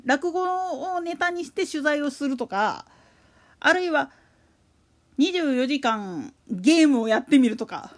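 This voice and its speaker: A woman hosts a solo show in a high-pitched voice. Her intonation swings widely as she talks. The delivery is a casual monologue, full of colloquial, slangy turns. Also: lively intonation, a little slow. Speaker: solo podcast host. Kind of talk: casual monologue about a radio announcer.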